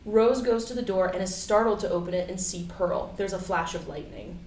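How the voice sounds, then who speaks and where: Female stage-directions reader, mid-pitched, scripted narration, echoey and away from the mic. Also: fairly quick, darkish tone. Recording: echoey, distant mic, faint background noise.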